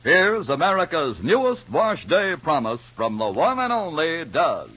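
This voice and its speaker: Enthusiastic vintage ad man, animated and emphatic, slightly raspy, on a dull old broadcast. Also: on the high side, unhurried. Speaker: commercial announcer. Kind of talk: 1950s advertising read. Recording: muffled vintage broadcast recording.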